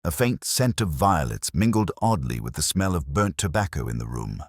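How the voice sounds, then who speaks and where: A man's voice: rumbling low, very animated, moderate pace, dry recording, studio quality. A man reading a scripted literary mystery story as its first-person narrator.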